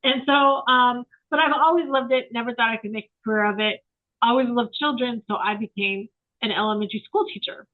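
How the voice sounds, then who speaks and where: A woman's voice: on the high side, a little fast. A woman around sixty, a photographer guest, talking in a rambling, reflective way with many fillers over a remote call. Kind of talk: casual interview chat. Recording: tinny phone-like line.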